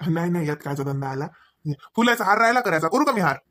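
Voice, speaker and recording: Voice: mid-range voice, lively intonation, fast, a bit bright, no room sound, clean studio sound, close to an everyday voice. An actor voicing an eager, polite, easygoing college-age young man in a comedy cartoon, speaking colloquial Marathi with a Varhadi accent. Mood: helpful. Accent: Varhadi Marathi from Vidarbha. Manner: colloquial, obliging, reassuring.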